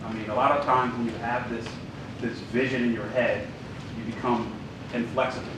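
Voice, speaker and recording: A man's voice: steady tone, moderate pace. Emphatic man in a big echoey room. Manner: emphatic, repeating himself. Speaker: writing group collaborator. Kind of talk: panel comment. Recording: echoey room from far off with rumble.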